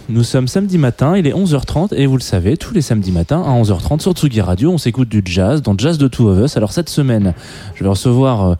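A man hosting a weekly radio show from a studio, chatting casually with a guest. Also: medium pitch. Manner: relaxed, joking, many fillers.

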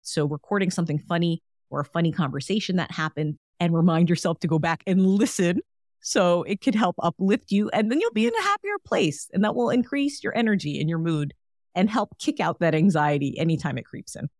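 A woman's voice: lowish voice, expressive, brisk, darkish tone, dry recording, studio quality. Chatty, encouraging woman podcast host. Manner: encouraging, chatty, frequent "like" fillers. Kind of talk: solo wellness podcast talk.

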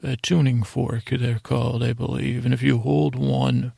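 A man with a heavily creaky, husky voice at a medium pitch hosts a bedtime podcast. He meanders and doubles back on himself in a halting, lulling monologue. The recording is dry and close, with no room sound. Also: little pitch movement, mellow, no echo. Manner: meandering, halting, self-interrupting, soothing intent. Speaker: podcast host.

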